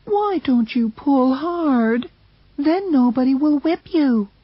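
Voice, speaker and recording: A man voicing a fretful young woman, high pitch. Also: sing-song, slow pace, breathy edge, no echo, dull audio, exaggerated voice. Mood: pleading. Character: fussy, tender-hearted young woman on a sled trip.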